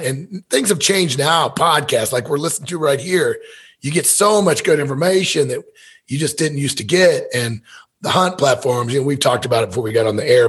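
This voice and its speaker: A man's voice: a little high, slightly rough. An American man in his fifties from Missouri, telling stories in a candid, folksy, rambling way as a podcast guest. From Missouri, USA. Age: 50s. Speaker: podcast guest, elk hunter and business owner. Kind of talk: casual conversational storytelling.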